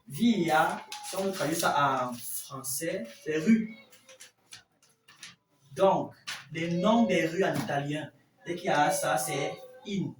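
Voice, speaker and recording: Language teacher, mid-pitched and unhurried, didactic, repeating examples, recorded from a distance in a room. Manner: didactic, repeats example phrases slowly and deliberately. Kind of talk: classroom Italian lesson explained in French. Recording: roomy echo, distant mic, faint noise.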